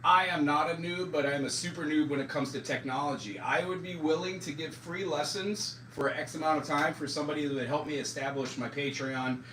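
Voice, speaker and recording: A man's voice: on the high side, fairly quick, few pauses, bright, forceful tone, slight background noise. A man in his forties chatting casually on a live stream as a music teacher. He talks loosely and pokes fun at himself, with plenty of fillers. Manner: loose and self-deprecating, with many fillers. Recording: roomy echo, distant mic, music underneath.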